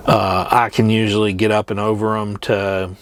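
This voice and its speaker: A middle-aged man chatting casually as a trucker vlogger, rambling and reminiscing with frequent hesitant pauses. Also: on the low side, level tone. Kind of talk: casual rambling chat to camera.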